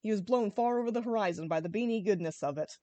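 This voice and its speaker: A woman narrating an amateur comic story in a playful, expressive way. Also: mid-range voice, fast talker, dry recording. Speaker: story reader.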